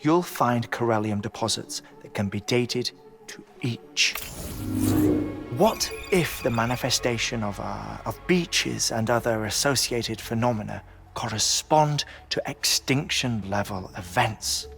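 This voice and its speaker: Learned scientist, video game drama, precise wording, professorial, deliberate, musical backing. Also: male voice, medium pitch, vocal fry. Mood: measured, explanatory. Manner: lecturing, precise, formal diction. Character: erudite, theorising scientist man, measured and deliberate. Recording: music behind the voice.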